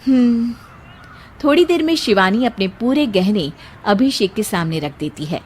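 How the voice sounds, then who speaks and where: A woman's voice: mid-range voice, expressive, measured pace, darkish tone, a little hiss or hum. A woman narrating a scripted story as its storyteller.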